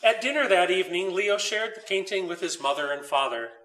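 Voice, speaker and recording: A man reading his own scripted children's story as narrator, deliberate and expressive. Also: high voice, far from the mic.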